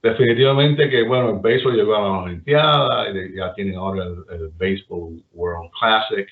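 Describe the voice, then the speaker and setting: Middle-aged Cuban man, Cuban accent, low voice over a tinny phone line, rambling storyteller. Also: moderate pace. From Cuba. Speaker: guest. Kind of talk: casual chat.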